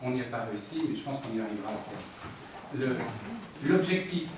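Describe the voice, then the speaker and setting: A man's voice: medium pitch, expressive, fairly quick. A man arguing as a local official in a council debate, insistent and emphatic, repeating his points. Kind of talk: political debate, explaining and arguing. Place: indoor municipal council meeting. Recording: very echoey room, distant mic, noisy.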